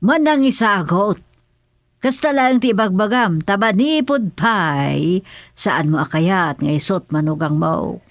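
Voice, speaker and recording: A woman's voice: medium pitch, expressive, measured pace, no echo. A woman playing a blunt, frank adviser who chides in a comedic radio drama. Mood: chiding.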